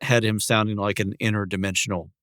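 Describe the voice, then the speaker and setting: A man's voice: on the low side, little pitch movement, fast talker, a bit bright, dry recording, studio quality. A man talking casually as a podcast co-host. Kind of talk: casual chat.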